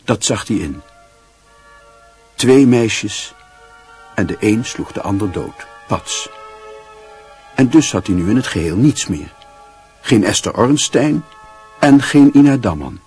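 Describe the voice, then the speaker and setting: A man's voice: lowish voice, lively intonation, slow, long pauses, a little mellow, soft background music. A man giving wry, scripted literary narration in a Dutch radio play. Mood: wry, reflective.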